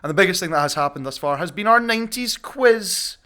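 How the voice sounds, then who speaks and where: A man's voice: high-pitched, lively intonation, a little fast, sharp tone. A young man hosting a chatty podcast among colleagues. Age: young adult. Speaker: podcast host, shop staff.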